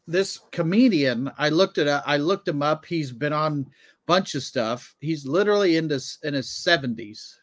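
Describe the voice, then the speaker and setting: A man's voice: a little high, lively intonation, moderate pace, a bit bright, no room sound. A man in his thirties, a comedian and podcast co-host, telling things in a casual conversational way. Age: 30s.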